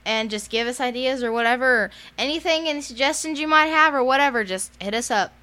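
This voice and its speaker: Texan woman co-host, higher voice, smooth and clear, chatty. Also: fairly quick, pushed and bright. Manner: chatty, full of "like" fillers. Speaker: podcast co-host. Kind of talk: casual chat.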